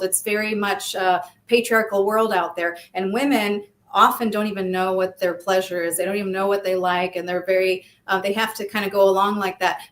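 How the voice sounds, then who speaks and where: A woman's voice: mid-range voice, a bit bright. A woman in her fifties with an American accent, speaking frankly and with energy as an interview guest, swearing freely. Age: 50s. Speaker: guest, sex-positive activist. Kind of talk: candid interview talk.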